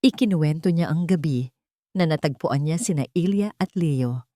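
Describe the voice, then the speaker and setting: A woman narrating a scripted romance drama in a measured, melodramatic storytelling manner. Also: low voice, mellow, no room sound.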